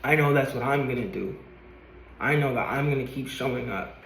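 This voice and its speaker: A man's voice: mid-range voice, fairly quick, vocal fry, fairly bright tone, slight background noise. Earnest swearing young man in echoey room. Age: 20s. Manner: earnest, rambling, swears casually, repeats himself. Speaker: vlogger. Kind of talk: motivational vlog talking to camera. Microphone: camera.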